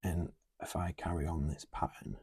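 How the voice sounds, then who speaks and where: A man's voice: low-pitched, steady tone, moderate pace, dark tone, breathy edge, no room sound, studio quality. A man, talking earnestly and musing aloud on a podcast. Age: 20s. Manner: earnest, musing, talks through lists. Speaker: podcast co-host. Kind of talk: casual heartfelt conversation.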